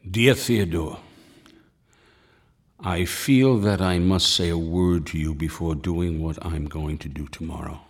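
Very deep, solemn doctor. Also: male voice, fairly even intonation. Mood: solemn, measured. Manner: solemn, deliberate, formal. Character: grave, resolute older male doctor and loyal family friend. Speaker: actor, also narrating. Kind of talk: radio drama acting.

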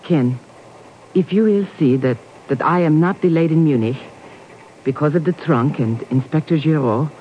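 A woman's voice: sits low, moderate pace, natural voice. An actress playing a poised foreign woman of intrigue in a 1950s radio drama, with formal, careful phrasing. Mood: coy, bargaining. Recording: muffled, noisy 1950s radio recording.

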